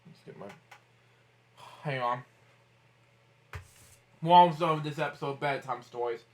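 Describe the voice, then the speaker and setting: Higher-pitched man reading aloud informally. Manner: halting, repetitive delivery with frequent interjections. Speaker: host of a bedtime story read-aloud series. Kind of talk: informal picture-book read-aloud with asides.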